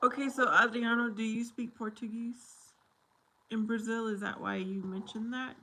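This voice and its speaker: A woman's voice: on the high side, fairly even intonation, moderate pace, bright, forceful tone, low-quality recording. A woman chatting casually with viewers on a livestream, slipping Spanish phrases in between English. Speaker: livestream host.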